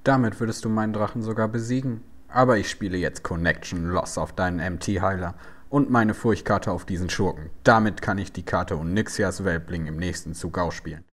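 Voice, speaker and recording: A man's voice: low-pitched, moderate pace. An actor playing a boastful, gloating male card-game finalist.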